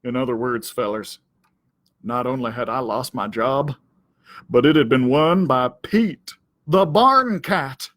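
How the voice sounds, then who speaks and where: A man's voice: mid-range voice, a little slow, a bit bright, dry recording, clean studio sound, voice pushed down, natural voice. A man voicing a self-important, aggrieved cowdog who tells his storybook tale in a pompous, melodramatic, wordy way.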